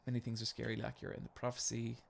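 A man's voice: mid-range voice, monotone, quick pace, creaky. A man in his thirties with an Irish accent, chatting casually with his live chat while playing a game in his bedroom. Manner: chatty, reads and answers chat, swears casually. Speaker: streamer. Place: home bedroom. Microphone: desk mic with webcam.

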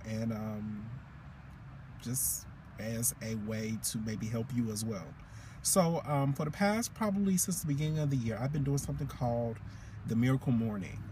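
A man's voice: mid-range voice, a little mellow. Male vlogger, 30s, mid-range voice, informal and reflective, recorded in a car with noticeable background noise. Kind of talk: casual car chat to camera. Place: inside a parked car, windows open.

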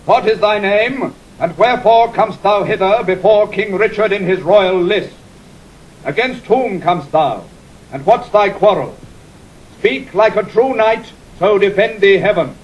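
Medieval marshal, high voice, very ceremonial proclaiming, shakespeare audio play. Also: male voice, a little slow, dull audio. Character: formal medieval Lord Marshal proclaiming at lists. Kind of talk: classical Shakespearean audio drama acting. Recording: noisy 1960 audio drama recording.

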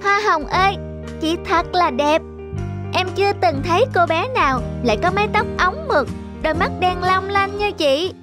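A woman's voice: extremely high pitch, normal speed, over music. A woman voicing a small, earnest, childlike talking bird in a cartoon fairy tale. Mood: admiring.

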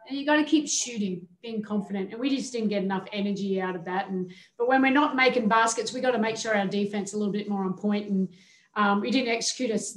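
Candid middle-aged woman coach, echoey room. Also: a little high. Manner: candid, measured, with frequent fillers and restarts. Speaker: head coach. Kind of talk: postgame press conference answers. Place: indoor media room before a team backdrop.

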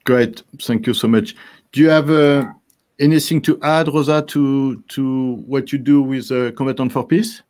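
Older male host, video call, halting delivery, lots of filler sounds. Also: medium pitch, fairly even intonation, a little mellow. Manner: hesitant, many fillers and restarts. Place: office with posters behind.

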